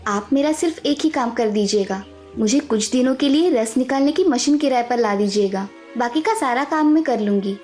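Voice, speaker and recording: A woman voicing a determined, respectful young village daughter-in-law in an animated story, earnest and polite but firm. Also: on the high side, normal speed, faint music behind, lightly acted. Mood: reassuring. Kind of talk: Hindi animated moral story dubbing.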